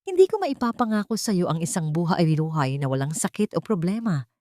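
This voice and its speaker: A woman voicing a devoted, tender man in a storybook romance, speaking in an earnest, emotional, measured way. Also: fairly low pitch, sing-song, mellow, no echo, clean studio sound. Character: devoted, tender man proposing to his beloved.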